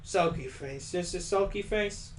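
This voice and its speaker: A man's voice: high-pitched, measured pace, a little hiss or hum. A man hosting a let's play, reading a visual novel aloud with playful, joking asides.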